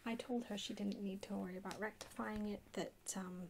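A woman chatting casually in a soft, hushed, partly whispered ASMR style as she hosts a haul video. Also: medium pitch, steady tone, brisk, gravelly, slight background noise. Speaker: video host. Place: indoors at a table.

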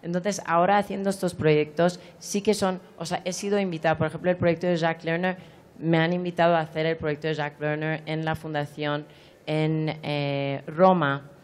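A woman's voice: low voice, little pitch movement, moderate pace, a little mellow. A woman in her forties from Iran, a curator answering on a panel in a large hall, speaking into a handheld microphone with frequent restarts and fillers. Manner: thoughtful, many restarts and fillers. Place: large hall at an art fair.

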